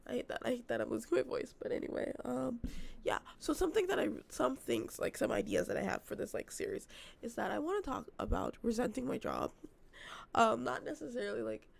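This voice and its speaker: Vlogger, young woman, hoarse with a very gravelly fry, chatty and rambling, off-the-cuff talk. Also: a little high, sing-song, a little fast. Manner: rambling, filler-heavy, self-described yappy. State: losing her voice, hoarse. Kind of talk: casual unscripted vlog chat. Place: apartment.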